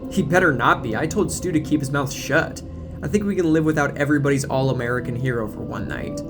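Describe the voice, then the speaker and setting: A brash teenage girl acted by a man talks in a flippant, breezy way, bossy but reassuring. It is an audio drama reading with music clearly behind it. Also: mid-range voice, fast talker, natural voice. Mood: dismissive.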